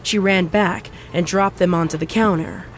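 A woman's voice: fairly low pitch, steady tone, normal speed, very noisy. A woman reading scripted story narration.

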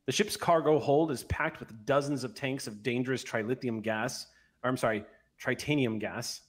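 A middle-aged man with an average-pitched voice narrates a tabletop roleplay game as its game master. He speaks close to the mic and now and then corrects himself as he reads. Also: normal speed. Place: indoor room, on a group video call. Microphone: microphone on an arm, close to mouth.

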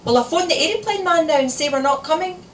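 A woman voicing a frazzled, sharp-tongued and anxious young mother in a story reading, scolding and pressing with questions. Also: sits high, big pitch swings, quick pace, voice pushed up. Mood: exasperated, shouting. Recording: echoey room, distant mic, noisy.

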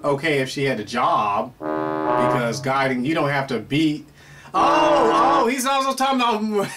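A man's voice: fairly high pitch, animated, measured pace. A middle-aged man hosting a playful question and answer show, reacting aloud to an unseen guest with lots of exclamations and laughs. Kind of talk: animated comedic Q&A chat. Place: indoor room before a pale curtain. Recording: distant mic with loud guitar music behind.

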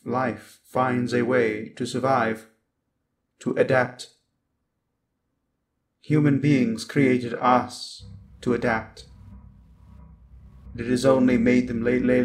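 A man playing a cold, menacing evil robot in a scripted ASMR roleplay, deliberate, with stuttering glitched repeats of syllables and words. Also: mid-range voice, flat delivery, lightly acted. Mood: cold contempt.

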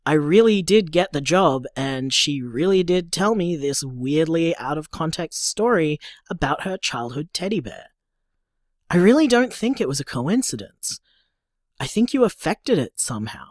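A woman's voice: low voice, big pitch swings, normal speed, darkish tone, dry recording, clean studio sound. A woman with an Australian accent playing an upbeat, teasing young partner in a naturalistic audio drama, breezy and chatty. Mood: earnest. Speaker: podcast drama actor.

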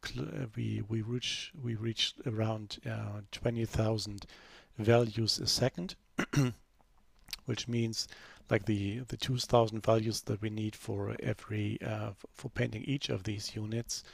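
A man narrating a screen-recorded software demo in a relaxed, unscripted way, full of fillers and restarts. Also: lowish voice, measured pace, gravelly, breathy edge, no room sound. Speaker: software developer giving a demo.

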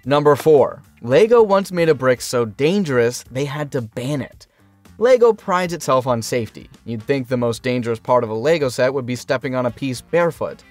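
A man's voice: medium pitch, expressive, normal speed, dry recording. Wry man narrating a countdown. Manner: wry, punchy, sarcastic asides. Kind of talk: scripted countdown narration, jokey. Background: faint background music.

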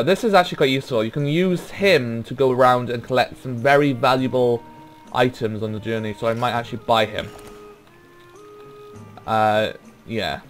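A young man with a Welsh accent chats loosely over his gameplay at a middling pitch, drifting between thoughts and filling gaps with ums. Faint game music sits under his voice. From Wales. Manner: rambling, laid-back, trails off with ums. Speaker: gaming YouTuber. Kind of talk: casual let's play commentary.

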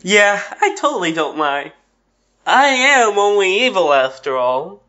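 A woman's voice: medium pitch, sing-song, a little slow, a bit bright. A woman voicing a sly, theatrical, mock-sincere female villain in a dull home recording. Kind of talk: amateur audio drama acting.

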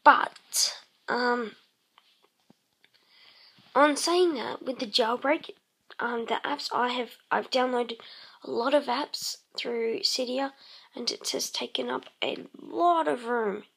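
A woman casually narrating her game session, croaky and clearing her throat, rambling with many pauses and humming to herself. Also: fairly high pitch, a bit bright. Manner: slow, rambling, many ums, hums tunes while playing. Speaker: let's play host. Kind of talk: casual gameplay commentary.

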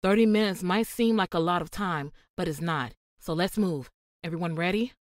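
A woman acting a steady, practical friend turned thief in an audio drama. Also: on the low side, moderate pace, no echo, clean studio sound, natural voice. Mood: brisk.

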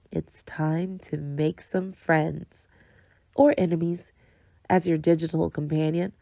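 A woman's voice: sits low, gravelly, warm tone, no room sound, exaggerated voice. A woman voicing an intimate, knowing digital companion who coaxingly guides the listener in a scripted game trailer.

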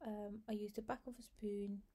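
A woman's voice: mid-range voice, flat delivery, normal speed, mellow, breathy edge. A woman hosting a home cooking video, chatting through each step in a casual voice-over. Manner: chatty, talks viewers through steps with fillers. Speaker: cooking channel host.

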